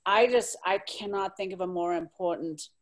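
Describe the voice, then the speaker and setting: A woman's voice: medium pitch, normal speed, a little hiss or hum. A woman from Zimbabwe speaking on a panel, candid and impassioned, blunt in her opinions. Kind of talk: panel discussion.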